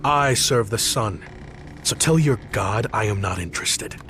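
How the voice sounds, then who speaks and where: An actor playing a stubborn, defiant soldier captain in a video game, speaking in curt, formal phrases. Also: male voice, on the low side, expressive, a little slow, fairly bright tone, slight background noise. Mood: dismissive. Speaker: game protagonist.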